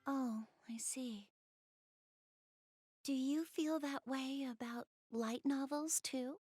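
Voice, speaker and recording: A woman's voice: high voice, slow pace, a little mellow. A woman voicing a shy, bookish young goddess girl in a video game dub, speaking politely and earnestly with bashful hesitation. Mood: enthusiastic, earnest. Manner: hesitant, bashful, polite, rambling about hobbies. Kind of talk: English video game dub, visual novel dialogue.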